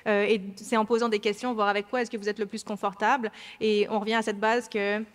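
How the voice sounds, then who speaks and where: A woman's voice: medium pitch, little pitch movement, quick pace. A woman from France with a French accent, explaining cybersecurity training as a panelist at a conference, with many fillers and restarts. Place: large conference hall. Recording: hall reverb.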